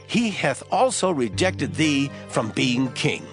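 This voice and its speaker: A man's voice: mid-range voice, big pitch swings, slow pace, over music. A man playing a stern, righteous prophet in a dramatized scripture reading, solemn and declamatory. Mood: rebuking. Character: stern, righteous prophet delivering divine judgement.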